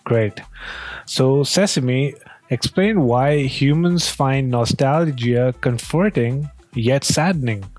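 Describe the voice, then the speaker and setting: A man's voice: medium pitch, slow pace, darkish tone, no echo. A man hosting a video, casually presenting and putting questions. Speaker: video host testing an AI with questions.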